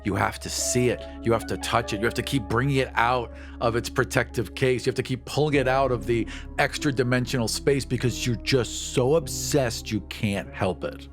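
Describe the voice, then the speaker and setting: A man's voice: medium pitch, normal speed, soft background music. A man chatting casually as a podcast panelist, telling improvised stories with emphatic, repeated phrasing. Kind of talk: casual improvised chat and storytelling.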